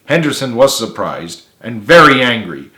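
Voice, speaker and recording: Casting: male author-narrator, mid-pitched, dramatic storybook delivery, roomy sound. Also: sing-song, moderate pace, bright, forceful tone. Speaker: author reading own book. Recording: echoey room.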